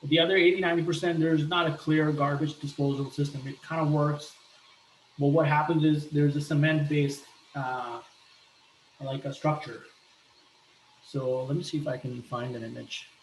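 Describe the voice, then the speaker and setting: A man from Pakistan talking earnestly about his life, pausing often and restarting his sentences. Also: fairly high pitch, flat delivery, roomy sound, slight background noise, low-quality recording. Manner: hesitant, earnest, many restarts and fillers. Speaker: entrepreneur sharing a personal appeal. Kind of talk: personal talk with shared images.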